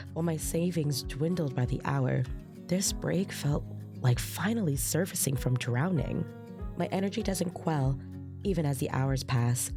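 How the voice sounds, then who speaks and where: A woman plays an earnest young woman telling her own story in an audio drama. Her voice sits low for a woman and is expressive, with music clearly underneath. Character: bubbly, anxious young woman narrating her new job. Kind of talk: first-person audio drama narration.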